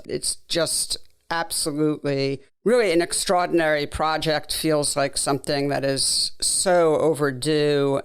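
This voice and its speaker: A woman's voice: low voice, clear, resonant tone. A woman hosting a podcast in a conversational, enthusiastic interview style. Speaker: podcast host.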